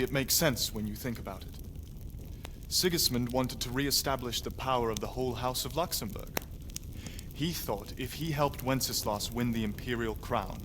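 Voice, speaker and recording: A man's voice: medium pitch, background noise, natural voice. A man playing a shrewd medieval noble councillor in a video game, composed and explaining things in a reasoned way. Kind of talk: dramatic video game acting.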